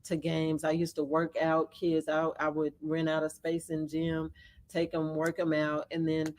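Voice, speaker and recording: Soft-spoken low-voiced woman guest. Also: monotone. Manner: halting, with many restarts and laughs. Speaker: talk show guest. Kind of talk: casual storytelling interview. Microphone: video call.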